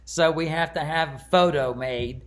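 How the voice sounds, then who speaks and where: A man's voice: a little high, normal speed, a bit bright. A man speaking as an author in casual, conversational commentary.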